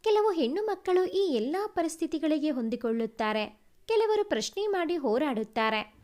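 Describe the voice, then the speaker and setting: A woman reads a sentimental family story aloud as a storyteller, in a measured, formal way. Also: high-pitched, big pitch swings, a little mellow. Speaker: storyteller, narrator.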